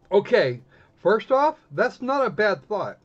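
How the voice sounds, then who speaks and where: High-pitched snarky man streaming games. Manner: snarky, exclamatory. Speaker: streamer playing a visual novel. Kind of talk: casual live game commentary with reading aloud. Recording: dull lo-fi microphone sound.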